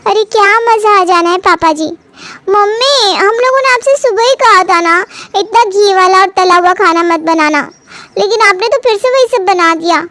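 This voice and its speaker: Woman acting cartoon young daughter, very high voice, whiny, complaining, weight-obsessed, close dry sound. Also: moderate pace, sharp tone, dry recording. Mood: exasperated, whiny.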